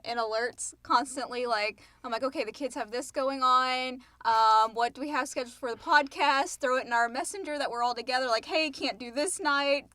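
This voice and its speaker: A woman's voice: a little high, sharp tone, no echo. A middle-aged woman chatting casually as a podcast co-host. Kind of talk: casual Q&A chat. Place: home podcast studio.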